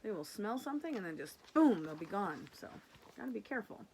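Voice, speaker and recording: A woman's voice: mid-range voice, big pitch swings, brisk, a little mellow, slightly rough, poor audio. Woman teacher reading aloud to children. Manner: affectionate, addressing young children simply. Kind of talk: picture-book read-aloud with casual explanations.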